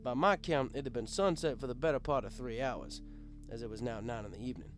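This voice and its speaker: A man's voice: medium pitch, quick pace. A man narrating a first-person story as a bartender, conversational and wry. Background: guitar music.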